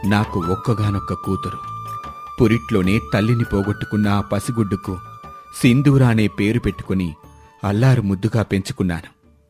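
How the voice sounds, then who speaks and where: A man playing a stern, commanding king in an animated moral story. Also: fairly low pitch, normal speed, over music, natural voice. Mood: solemn, confiding. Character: stern, authoritative king and grieving father.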